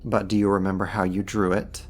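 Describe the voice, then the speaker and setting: A man voicing a powerful, smugly dominant archdemon in an ASMR roleplay, mocking and menacing with a theatrical, deliberate delivery. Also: low-pitched, little pitch movement, faint music behind, natural voice. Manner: theatrical, taunting, slow and deliberate.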